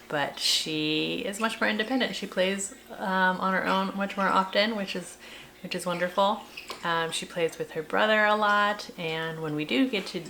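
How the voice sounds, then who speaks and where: Low-pitched, creaky-voiced woman vlogger. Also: bright, forceful tone, slight background noise. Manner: rambling, self-questioning. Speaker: homeschooling mother and vlogger. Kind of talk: casual chatty vlog reflection.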